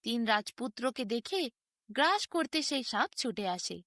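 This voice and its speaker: A woman's voice: on the high side, very animated, a little slow, a bit bright, no echo, clean studio sound. A woman narrating a fairy tale as a bedtime story, with a sing-song storytelling delivery. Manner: sing-song storytelling with wide rises and falls.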